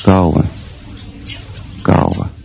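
An actor playing a grim, contemptuous schoolteacher in a radio drama, narrating in clipped, deliberate short sentences. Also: male voice, sits low, flat delivery, creaky, slightly rough, exaggerated voice. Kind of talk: literary radio drama monologue. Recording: dull, muffled sound.

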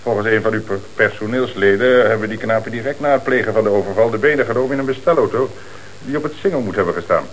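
Radio drama police inspector, played by a man: fairly low voice, calm, businesslike, Netherlands Dutch accent, hissy old recording. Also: fast talker, close to an everyday voice, low-quality recording. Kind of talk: Dutch radio play acting.

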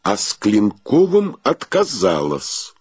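An actor narrating a comic literary tale as a wryly solemn storyteller in a radio play. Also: male voice, on the low side, wildly swooping pitch, takes it slowly, darkish tone, breathy edge, dry recording, heavily acted.

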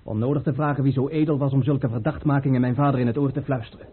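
An actor playing a proud, suspicious crown prince in a radio drama, speaking formally and indignantly. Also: male voice, medium pitch, steady tone, quick pace, husky, close to an everyday voice. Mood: bitter, indignant. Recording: muffled, phone-like old radio play recording.

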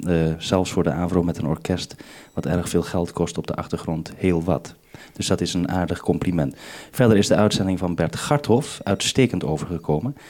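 Low, mellow-voiced man giving methodical instructions. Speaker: radio programme maker in the studio. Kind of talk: two-way radio briefing with instructions.

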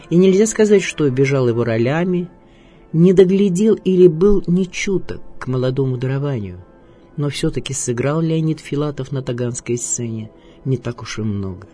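A man reading a scripted biographical narration in a measured, literary and declamatory way. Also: on the high side, mellow. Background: music.

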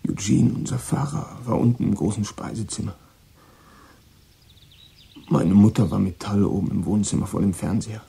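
An actor playing a troubled, guilt-ridden American boy of sixteen in a German radio drama, speaking haltingly and repeating words in agitation. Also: lowish voice, vocal fry, warm tone, slightly rough, full character voice. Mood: subdued, weary.

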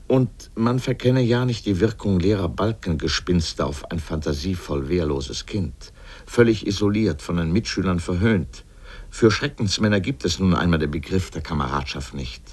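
Man, low deep pitch, essayistic radio commentary, scripted, emphatic, pointed, rhetorical. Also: lively intonation, moderate pace, slightly rough.

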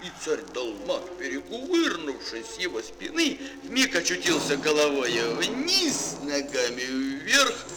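A man narrating a children's fairy tale as a storyteller in an expressive, theatrical, dramatic manner. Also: fairly high pitch, unhurried, few pauses, very noisy.

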